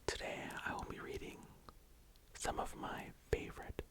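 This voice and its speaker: A young adult ASMR host speaking and reading poetry in a breathy, gentle whisper, lingering on the words. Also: normal speed.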